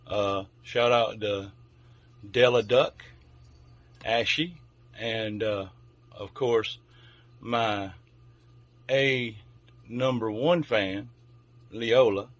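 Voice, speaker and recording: A man's voice: lowish voice, fairly even intonation, drawn-out words, long pauses, lo-fi sound. A man hosting a children's bedtime story show, speaking directly to young listeners as a storyteller. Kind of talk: friendly introduction, then read-aloud children's storytelling.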